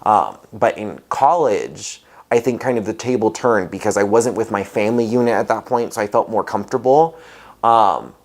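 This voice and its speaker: A young man in his twenties from Hawaii, talking in a casual oral history interview, often pausing with fillers and restarting. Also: lowish voice. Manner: hesitant, many um fillers and restarts.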